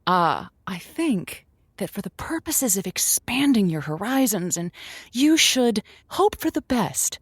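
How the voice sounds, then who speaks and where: A woman's voice: medium pitch, very animated, measured pace, breathy edge, no room sound, studio quality. A woman playing a perky, folksy radio advice host, effusive, earnest and reassuring, in improvised comedy. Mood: encouraging.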